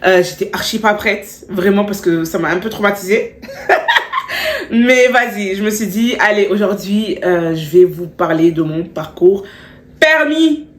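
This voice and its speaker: A young woman talks to her followers in a lively, slangy way, stacking repeated words for emphasis. Her voice sounds a little stuffy from a cold, sitting at a middle pitch. The room around her is echoey. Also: measured pace. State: stuffy, still has a cold. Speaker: beauty vlogger. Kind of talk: chatty storytime vlog with advice.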